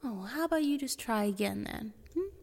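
A woman's voice: a little high, animated, a little fast, creaky, darkish tone. A woman playing a cheeky, teasing sidekick. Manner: teasing, sardonic interjections. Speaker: detective's assistant. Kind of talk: audio drama acting.

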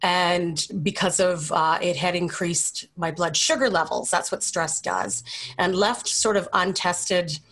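A woman in her forties from Canada, with a Canadian accent and a slightly low voice. She speaks as an interview guest, giving casual, conversational answers. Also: measured pace, fairly bright tone. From Calgary, Canada. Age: 40s.

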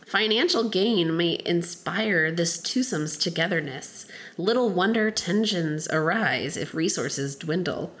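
A woman's voice: lively intonation, a little slow, few pauses, fairly bright tone. A woman co-hosting a casual podcast who reads aloud from a book with a cold, stumbling over words and giggling. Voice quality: deep voice from a cold. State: has a cold. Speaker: podcast co-host.

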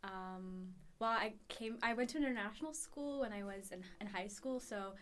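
A woman in her early twenties from Ethiopia, reflecting conversationally on her own life as a podcast guest. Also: mid-range voice. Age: early 20s. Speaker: podcast guest, university student.

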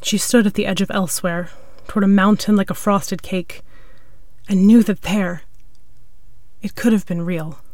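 A woman's voice: medium pitch, fairly even intonation, moderate pace, darkish tone, dry recording. A woman reading a piece of scripted literary fiction as its narrator. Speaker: story narrator.

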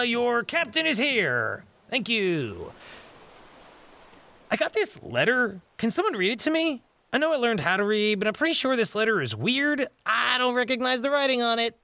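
Timid, high-pitched little captain acted by a man. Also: sing-song, normal speed, gravelly, pushed and bright, full character voice. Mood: sheepish, confused. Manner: hesitant, stammering. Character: timid, childlike, unsure little ship captain.

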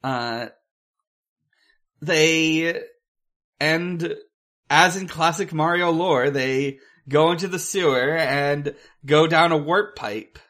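A man's voice: on the high side, takes it slowly, fairly bright tone. A man co-hosting a podcast, talking casually about film in a hesitant way, thinking aloud as he goes. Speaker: podcast co-host.

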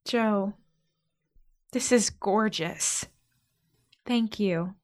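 An actress playing a self-conscious, anxious young eldest sister with an American accent, in a naturalistic modern audio drama. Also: female voice, mid-range voice, extremely slow, darkish tone. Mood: touched, curious. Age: young adult. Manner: self-deprecating, earnest.

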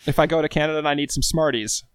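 Male podcast co-host, slightly high voice, dry sound, casual comedic banter with halting, repeated phrasing. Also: smooth, no room sound.

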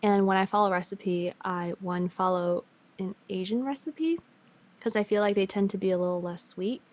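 A woman's voice: mid-range voice, normal speed, darkish tone. A young woman from China raised in Canada, with a Canadian accent tinged slightly Chinese, chatting casually with lots of ums and restarts. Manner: chatty, laughs easily, many ums and restarts. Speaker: guest. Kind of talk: casual chat.

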